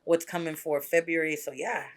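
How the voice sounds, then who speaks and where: A man from Mexico, a DJ guest, talking candidly in a relaxed interview and often checking in with "right?". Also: fairly high pitch, normal speed, a little hiss or hum. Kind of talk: casual interview talk.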